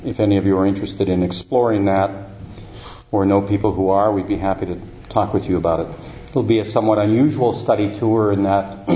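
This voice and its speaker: Low, mellow-voiced man introducing a speaker. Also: slight background noise. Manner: halting, with many uh and um fillers. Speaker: event host introducing a speaker. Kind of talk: formal introduction. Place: university lecture room. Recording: roomy, dull lecture-hall recording.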